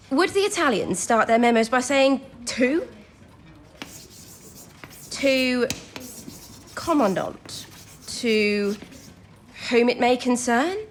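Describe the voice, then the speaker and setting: An actress playing a young wartime codebreaker who thinks aloud, eager and self-doubting but daring, in an audio drama. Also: female voice, on the high side. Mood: musing, tentative.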